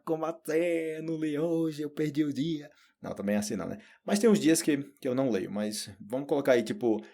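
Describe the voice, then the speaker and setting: A man with a Brazilian Portuguese accent giving a casual spoken Portuguese lesson, chatty and animated, often acting out quoted inner thoughts. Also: mid-range voice. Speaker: Portuguese teacher.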